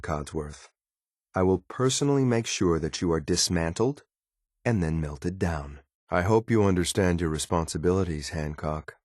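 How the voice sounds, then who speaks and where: A deep-voiced male android soldier from a video game, cold and disciplined. He speaks in clipped, formal phrases with curt authority. The recording is dry and close, with no room around the voice. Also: no echo. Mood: cold, menacing. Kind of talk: scripted video game voice lines.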